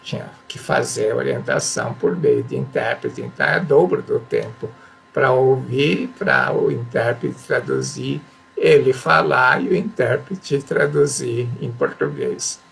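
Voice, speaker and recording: Man with a deeply gravelly voice. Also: big pitch swings, measured pace, fairly bright tone. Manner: reads passages aloud and explains them; repeats affirmations emphatically. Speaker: spiritual teacher. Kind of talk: religious teaching talk.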